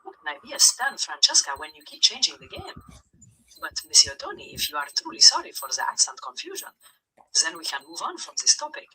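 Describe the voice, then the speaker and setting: A woman's voice: on the high side, sing-song, a little fast, pushed and bright, slight background noise, rough recording. A synthetic woman's voice of an AI chatbot, replying in a polite, stern and formal way. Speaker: AI chatbot persona. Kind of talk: formal scripted replies.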